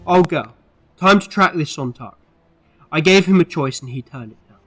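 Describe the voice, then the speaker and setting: A man's voice: on the high side, moderate pace, a bit bright, slightly rough, soft background music. A man playing an eccentric, moralising alien time traveller in an audio drama, speaking earnestly and emphatically. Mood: determined. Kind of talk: fan audio drama acting.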